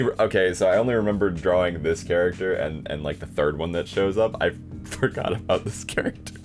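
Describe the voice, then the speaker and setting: Game playthrough host: young man, low register, very varied pitch, dry self-mocking asides, casual delivery. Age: young adult. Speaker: video creator playing own game. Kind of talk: casual playthrough commentary and reading aloud.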